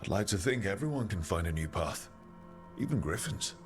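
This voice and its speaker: A very low-voiced heroic adventurer in a fantasy game. His delivery is lively and reassuring, that of an encouraging leader, with clear music behind him. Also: normal speed. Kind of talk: video game dialogue.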